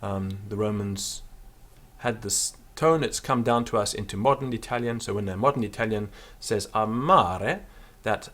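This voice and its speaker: Man slowly explaining and demonstrating words. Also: fairly low pitch, a bit bright. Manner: unscripted explaining, with fillers and slow sung-out demonstrations of words. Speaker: Latin teacher. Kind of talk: spoken pronunciation lesson.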